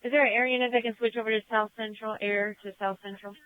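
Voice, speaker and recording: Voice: medium pitch, little pitch movement, fast talker, clean tone, dry recording. A police dispatcher relaying reports and instructions to units over the radio. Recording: tinny, narrow-band police radio transmission.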